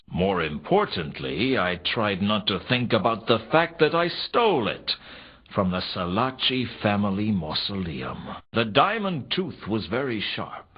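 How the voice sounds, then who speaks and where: An actor playing a wry, world-weary private detective, narrating a noir adventure game in a deadpan, sardonic way. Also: male voice, on the low side, breathy edge, full character voice. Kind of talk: scripted first-person noir narration. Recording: dull, lo-fi ripped game audio.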